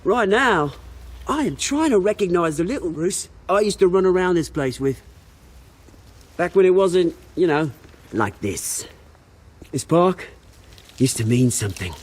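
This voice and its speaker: A man's voice: high-pitched, big pitch swings, unhurried, pauses often, slightly rough, exaggerated voice. An actor with a British accent playing a cocky, bitter, theatrical fallen rich man in a video game. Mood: bitter, wistful. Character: bitter, scrappy fallen rich man, childhood friend turned volatile.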